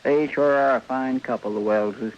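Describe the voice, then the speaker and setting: An actor with a rural American accent playing a folksy, eager, deferential deputy in an old-time radio western, with a twangy voice. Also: male voice, medium pitch, normal speed, close to an everyday voice. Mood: folksy, chatty. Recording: muffled, noisy old radio recording.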